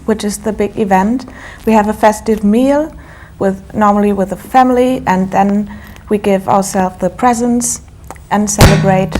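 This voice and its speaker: A woman's voice: mid-range voice, a little mellow. German woman explaining in careful English. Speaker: studio guest.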